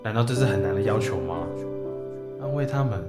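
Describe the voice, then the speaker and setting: A man's voice: on the low side, expressive, brisk, lightly acted. A young man playing a resentful, defensive lead in a radio drama. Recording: echoey room under a loud music bed.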